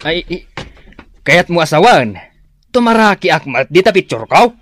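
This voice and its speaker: A woman's voice: lowish voice, very animated, normal speed. A woman acting a reluctant, self-conscious, grumbling spouse in a comedic radio drama, protesting and hesitant, with an Ilocano Filipino accent.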